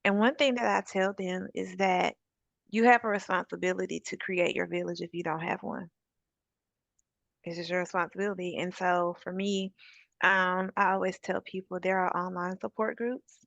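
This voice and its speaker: Gravelly-voiced woman giving practical encouragement. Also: lowish voice, fairly even intonation, normal speed, fairly bright tone, no room sound. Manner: encouraging, practical advice with scripture references. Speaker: suicide prevention advocate. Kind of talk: conversational interview guest.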